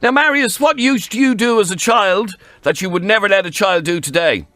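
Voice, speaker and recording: A man with a Dublin accent hosting a radio phone-in, bantering with callers in a lively way. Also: high-pitched, moderate pace, dry recording, soft background music. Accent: Irish, Dublin.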